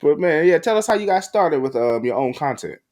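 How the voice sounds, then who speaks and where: A man's voice: on the high side, expressive, brisk, a little mellow. A man hosting a podcast interview, chatting casually with slangy, informal phrasing. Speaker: podcast host.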